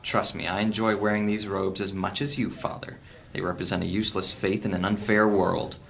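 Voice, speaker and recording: Low, gravelly, cynical outlaw played by a man. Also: little pitch movement, brisk, a bit bright. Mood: sardonic. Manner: curt, sardonic. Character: bitter, cynical fugitive man in priest's disguise. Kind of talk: fantasy audio drama acting.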